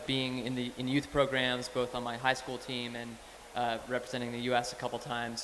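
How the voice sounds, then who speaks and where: A man's voice: medium pitch, a bit bright. A man from Boston who coaches a youth national team, speaking thoughtfully with hesitant fillers. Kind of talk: panel discussion. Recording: reverberant hall with faint noise.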